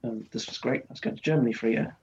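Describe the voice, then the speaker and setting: A man from Wales, a guest scholar talking in a relaxed interview, rambling with lots of restarts and fillers. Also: medium pitch, very fast, highs cut off. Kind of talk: conversational interview.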